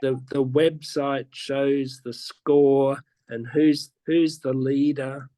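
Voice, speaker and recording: A man's voice: medium pitch, flat delivery, warm tone, no room sound. A man, a teacher, patiently explaining classroom ideas in a casual online interview, often restarting his sentences. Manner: patient, slow, with frequent restarts and repeats. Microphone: video call.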